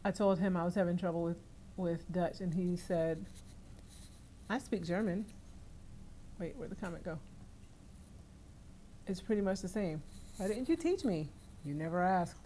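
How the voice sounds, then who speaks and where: A woman's voice: fairly low pitch, animated, normal speed, pauses often, warm tone, a little hiss or hum. A woman hosting a casual livestream from home, reading out viewer comments and chatting with her family, laughing often. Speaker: livestream host.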